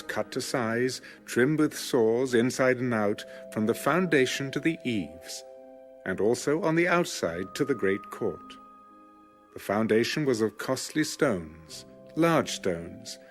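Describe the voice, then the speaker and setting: A man narrating scripture from a script in a measured, solemn manner. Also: medium pitch. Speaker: Bible narrator.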